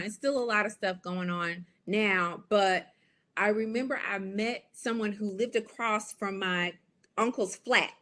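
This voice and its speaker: An American woman, a business coach, giving a lively, preachy training talk and speaking straight to her audience. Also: a little high. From United States.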